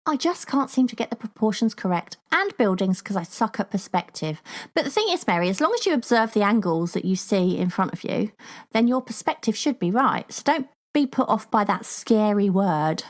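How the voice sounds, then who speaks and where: A woman's voice: mid-range voice, sing-song, moderate pace. A woman in her forties co-hosting a podcast, reading out listener comments and teasing her co-host with a giggle. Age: 40s. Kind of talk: casual chat.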